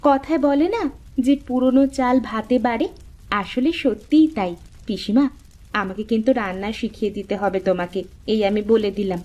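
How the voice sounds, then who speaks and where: A woman voicing a lively, bossy but caring young woman in a cartoon, with a chirpy, insistent manner. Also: on the high side, normal speed, dull audio, natural voice. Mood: chirpy, eager. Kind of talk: cartoon dialogue acting.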